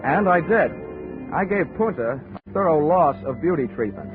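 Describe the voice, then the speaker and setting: Man doing a wisecracking 1940s detective, lively and wry, tinny radio with music behind. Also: a little high, normal speed, lightly acted. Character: wisecracking male insurance investigator, adult. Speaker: narrator and lead detective. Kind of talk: 1940s radio drama narration.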